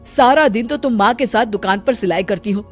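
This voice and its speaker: A woman's voice: on the high side, fairly quick, faint music behind, lightly acted. A woman voicing an impatient, complaining young husband in a cartoon story. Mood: annoyed. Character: impatient young husband and son, office worker.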